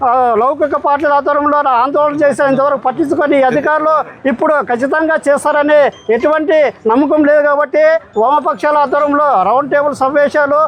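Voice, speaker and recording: A man's voice: very high voice, fairly bright tone. A man giving a formal, repetitive protest speech as a local party leader. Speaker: communist party town leader.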